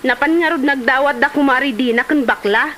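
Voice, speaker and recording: Comedy drama: actress as envious, talkative Ilocano village wife, very high pitch, Filipino accent, slightly noisy recording. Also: female voice, fairly quick, a bit bright. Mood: sulky, complaining.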